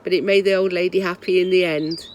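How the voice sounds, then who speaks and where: A woman storyteller reading a rhyming children's book aloud in an expressive, sing-song manner. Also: fairly low pitch, quick pace, a little hiss or hum.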